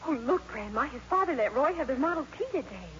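A high, very animated teenage schoolgirl, earnest and flustered. She frets breathlessly in the manner of 1950s radio comedy, on a dull vintage broadcast. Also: fast talker, slight background noise. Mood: eager, anxious. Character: earnest, fretful teenage schoolgirl, lovesick and easily embarrassed.

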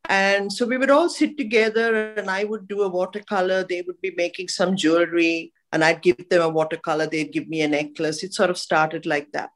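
Lower-pitched mature woman from Sri Lanka. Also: fairly even intonation, fairly quick, few pauses. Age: middle-aged or older. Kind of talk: conversational personal storytelling.

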